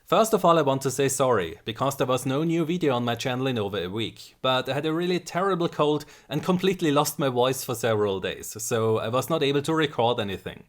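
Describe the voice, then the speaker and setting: A man's voice: mid-range voice, animated. A man hosting a gaming channel, giving scripted, methodical commentary on game design. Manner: explanatory, measured and methodical. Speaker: gaming video host.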